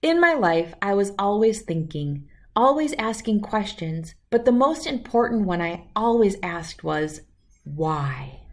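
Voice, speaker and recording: A woman reading a children's picture book aloud as an expressive storyteller. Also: medium pitch, a little slow.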